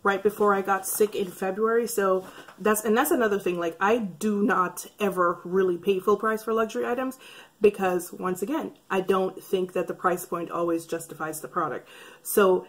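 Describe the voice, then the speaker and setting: A woman in her thirties, a beauty vlogger, talking casually to camera in a chatty, wry way with a slightly raspy voice from breathing trouble. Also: medium pitch, steady tone, moderate pace. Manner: chatty, wry, rambling asides. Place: bright room by a curtained window. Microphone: camera framed head and shoulders.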